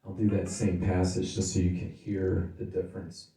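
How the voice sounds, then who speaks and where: Man, lowish pitch, dark mellow tone, casual explanatory reviewer, product demo. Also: completely flat delivery, normal speed. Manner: informal, explanatory. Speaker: gear reviewer. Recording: roomy, reverberant sound.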